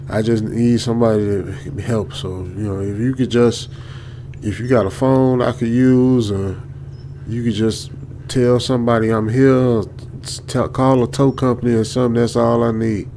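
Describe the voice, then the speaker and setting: A man's voice: mid-range voice, steady tone, normal speed, dark tone, slight background noise, natural voice. A man playing a frightened, stranded young American trucker in a radio drama, nervous and repetitive, often muttering or whispering. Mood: anxious pleading. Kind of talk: old-school radio drama with ASMR whispering. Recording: music behind the voice.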